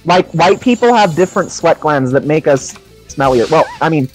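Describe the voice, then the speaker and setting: Higher-pitched, excitable, sweary man with music behind. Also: moderate pace. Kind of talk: casual chat on a gaming livestream.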